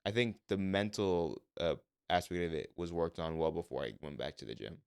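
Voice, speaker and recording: A young man co-hosting a podcast in relaxed casual chat, mostly level in tone but bursting into lively swings when he gets passionate. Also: low-pitched, moderate pace, vocal fry, smooth, no echo, clean studio sound. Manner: says his voice is monotone but jumps around when passionate. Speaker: podcast co-host.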